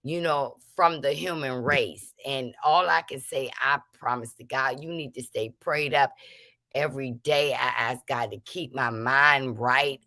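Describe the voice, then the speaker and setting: A woman's voice: rumbling low, a little slow, bright, forceful tone, no echo. A woman hosting a casual commentary show, giving emphatic, preachy warnings to her listeners. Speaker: show host.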